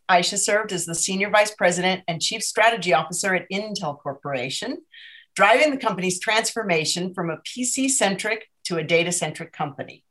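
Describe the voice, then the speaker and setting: A woman's voice: fairly low pitch, a bit bright. Upbeat woman interviewer. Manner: upbeat, eager introductions. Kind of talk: onstage conversation.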